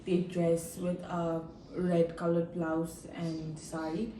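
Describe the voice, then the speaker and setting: A woman's voice: fairly low pitch, monotone, slow, dark tone, a little hiss or hum. A young woman from India whose first language is Nepali, thoughtfully answering interview questions with many fillers. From India, Darjeeling. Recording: echoey room, from a distance.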